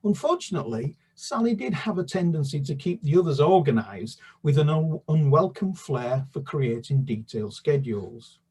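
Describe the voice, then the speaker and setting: A man's voice: a little high, breathy edge. A British children's author talking about his books with many restarts, then reading a chapter aloud. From United Kingdom. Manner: halting, many restarts and fillers, then steady narration. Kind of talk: book talk and read-aloud.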